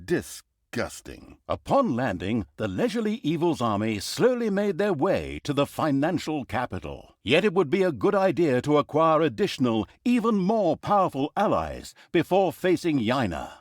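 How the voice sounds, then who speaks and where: An actor playing a sardonic, theatrical storyteller, narrating a comic fantasy video game in a wry, mock-grand way. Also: male voice, on the high side, huge pitch swings, normal speed, few pauses, no echo, studio quality.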